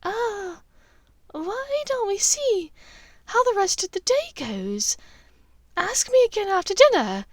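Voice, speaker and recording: A woman's voice: very high-pitched, sing-song, slow pace, no echo, clean studio sound, voice pushed up, exaggerated voice. A woman voicing a skittish young man posing as his genteel mother in a storybook, airy and forcedly polite. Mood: strained, polite.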